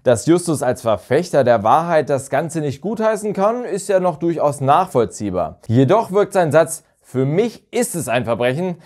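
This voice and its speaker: Man reviewing in an animated, lilting voice. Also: a little high, moderate pace, hardly any pauses. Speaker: video reviewer, channel host. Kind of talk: semi-scripted review commentary to camera. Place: small furnished room at home, indoors. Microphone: lapel mic.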